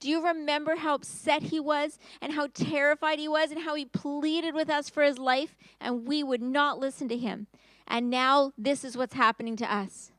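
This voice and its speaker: A woman's voice: steady tone, moderate pace, a bit bright, no room sound, rough recording. A woman voicing a group of panicked grown brothers in a storybook retelling, talking in frantic, gasping bursts. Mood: alarmed. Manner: frantic, gasping, high-pitched.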